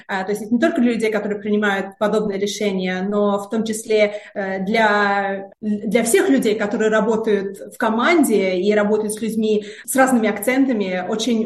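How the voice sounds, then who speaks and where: A woman's voice: mid-range voice, darkish tone. A woman researcher answering interview questions in a hesitant way, with many filler sounds and repeated words. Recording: roomy echo.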